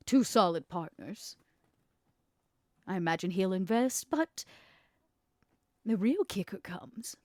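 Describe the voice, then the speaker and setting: A woman playing an imperious, ruthless female vampire schemer in a tabletop roleplay, speaking deliberately with dramatic pauses. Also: on the low side, darkish tone, dry recording, studio quality. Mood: calculating, persuasive. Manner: deliberate, dramatic pauses, stressed key words. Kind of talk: in-character tabletop roleplay acting.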